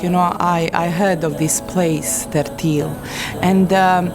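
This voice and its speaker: A woman's voice: on the low side, steady tone, unhurried. A woman speaking sincerely and with some hesitation in a documentary interview. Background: background music.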